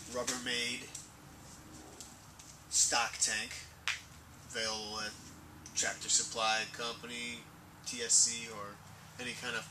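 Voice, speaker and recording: Man talking through a job, noisy audio. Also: mid-range voice. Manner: plain-spoken, step-by-step, thinking aloud. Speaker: DIY instructor. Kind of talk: casual hands-on tutorial talk while working. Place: inside a plastic-covered wood-framed dome greenhouse. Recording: noisy ambient camera audio.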